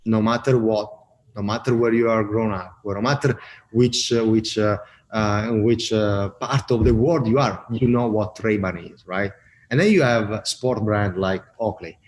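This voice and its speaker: A man with an Italian accent answers interview questions in a loose, halting way, stopping often to restart his sentences. His voice sits a little low. A faint hiss of noise runs under the recording. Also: fairly even intonation. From Italy. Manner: halting, many fillers and restarts. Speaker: brand marketing guest. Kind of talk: casual interview talk.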